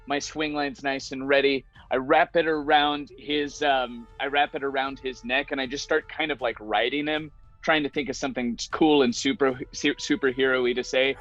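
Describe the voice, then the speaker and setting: A man's voice: medium pitch, little pitch movement, moderate pace, hardly any pauses, fairly bright tone. A man chatting casually and playfully as a player in a tabletop roleplaying game. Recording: slightly dull lo-fi mic, music behind.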